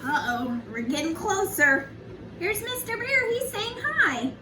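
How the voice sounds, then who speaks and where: High sing-song woman storyteller, roomy distant recording. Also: big pitch swings, unhurried. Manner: sing-song, addresses young children directly as friends. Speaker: children's storyteller, early childhood educator. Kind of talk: read-aloud picture book with chatty asides to children. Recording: roomy, distant mic, faint background noise.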